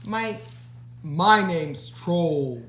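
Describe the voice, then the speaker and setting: A woman's voice: fairly low pitch, animated, drawn-out words, roomy sound, slight background noise. A woman voicing a proud, posh male troll with a kind streak, in a storybook style. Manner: posh, puffed-up. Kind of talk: storybook character voice.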